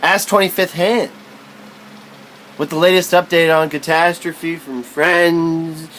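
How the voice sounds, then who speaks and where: A man's voice: fairly high pitch, fairly even intonation, slow pace, a bit bright, a little hiss or hum. A man reading literary prose aloud as spoken word, in a musing, philosophical manner.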